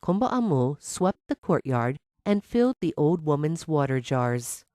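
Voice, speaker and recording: A woman's voice: low voice, sing-song, measured pace, dark tone, dry recording, clean studio sound. A woman narrating a scripted fairy tale for children as a storyteller.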